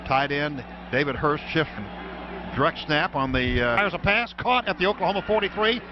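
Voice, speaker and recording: High-pitched American football play-by-play man, noisy broadcast. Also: normal speed. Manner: clipped running description, excited on big plays. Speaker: radio play-by-play announcer. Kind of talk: live American football commentary. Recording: dull, noisy radio broadcast from stadium.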